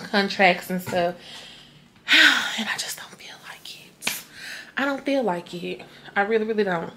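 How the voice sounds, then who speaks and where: A woman's voice: fairly low pitch, bright, forceful tone, a little hiss or hum. Tired rambling young woman vlogger. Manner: tired, rambling, talks to camera and her dog. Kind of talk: casual vlog chat. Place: home at night.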